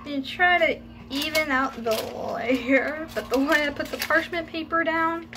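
A woman's voice: sits high, unhurried. A teenage girl filming a casual cooking demonstration in a small home kitchen on a phone, chatty and hesitant, often trailing off mid-sentence. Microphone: phone camera on the counter. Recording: home video with background noise.

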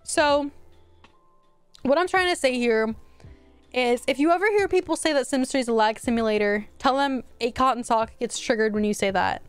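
Young woman streamer at home, kinda high voice, chatty and rambly, a bit worn out, dry close mic. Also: normal speed, clear, resonant tone, no room sound, faint music behind. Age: young adult. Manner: chatty, rambling, frequent restarts and laughs. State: voice going hoarse from a long stream. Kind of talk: casual stream commentary. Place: home streaming room, indoors. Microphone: studio mic on a boom arm.

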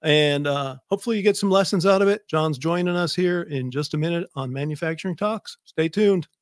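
An American man in middle age with a slightly high voice hosts a talk show in a chatty style. He is close on the mic, and the sound is dry. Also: a little fast, no room sound. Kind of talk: chatty show introduction and interview. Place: home office with bookshelves, indoors. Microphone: close desk microphone.

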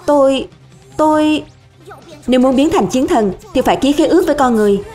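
High, boastful little dragon spirit played by a woman. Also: expressive, a little fast, mellow, soft background music. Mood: defensive, haughty. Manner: boastful, indignant, emphatic. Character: small boastful, cunning young dragon spirit. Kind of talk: animated cartoon dub.

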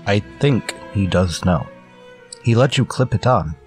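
A man playing a stoic, loyal scout sidekick in a radio western, terse and deadpan in clipped broken English. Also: fairly low pitch, a little slow, soft background music. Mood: quiet wonder. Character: stoic, dry-witted Native scout, loyal male sidekick. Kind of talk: old-time radio western acting.

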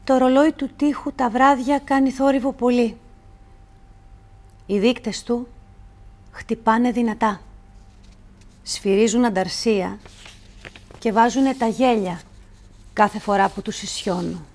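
A woman reciting literary poetry in a measured, deliberate way, with pauses between phrases. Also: a little high, steady tone, a little mellow. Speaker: poetry reader.

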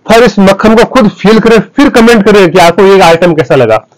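A man in his thirties with a high-pitched voice. He delivers an emphatic sales pitch to camera as a wholesale seller, boasting about his goods as he goes. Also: lively intonation, moderate pace, poor audio. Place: small clothing wholesale shop, indoors. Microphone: clip-on lapel mic.

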